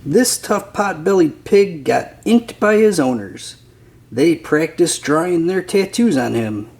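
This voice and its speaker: A man's voice: fairly high pitch, expressive, takes it slowly, darkish tone. A man reading a children's picture book aloud as a scripted narrator.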